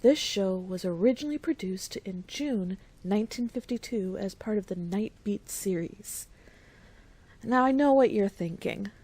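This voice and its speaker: A woman's voice: medium pitch, animated, unhurried, dark tone, breathy edge, no echo. An actress playing a sneaky, chatty woman secretly introducing a radio play in a conspiratorial, playful manner. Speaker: show introducer.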